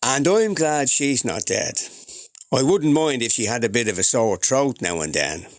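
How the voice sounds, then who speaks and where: A man's voice: medium pitch, big pitch swings, normal speed, dry recording, studio quality. A man playing a henpecked, placating but sly Irish husband with a Dublin accent in a comedy radio drama, hesitant and grumbling.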